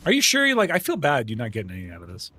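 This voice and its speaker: Lively friendly male game streamer. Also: mid-range voice, background noise. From United States. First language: Arabic. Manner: chatty and friendly, greets viewers by name, quick to laugh. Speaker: livestream gamer host. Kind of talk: casual live-stream chat, reading viewer comments.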